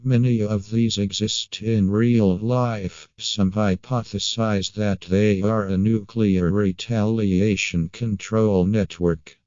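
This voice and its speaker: A man reading a scripted creepypasta narration in a measured, matter-of-fact way. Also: on the low side, fairly even intonation, darkish tone, no room sound, highs cut off.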